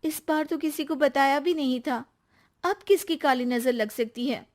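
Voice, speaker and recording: A woman's voice: high-pitched, normal speed, voice pushed up, close to an everyday voice. A woman voicing an emotional young married woman who argues plaintively in a cartoon story. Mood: upset, defensive.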